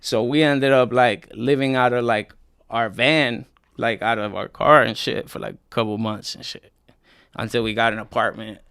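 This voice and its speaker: Young man, interview guest, easygoing slang-heavy talk, smooth resonant tone. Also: mid-range voice, steady tone, moderate pace, a bit bright, no echo, studio quality. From Colombia. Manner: laid-back slangy storytelling, many fillers.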